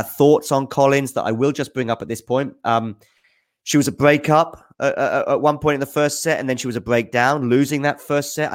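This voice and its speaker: A man with an average-pitched voice talks through viewer questions as host of a sports show. He thinks out loud, speaks off the cuff and sounds keen on the players he is discussing. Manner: thinks aloud, off-the-cuff and enthusiastic. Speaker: tennis news host answering viewer questions. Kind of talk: casual spoken commentary.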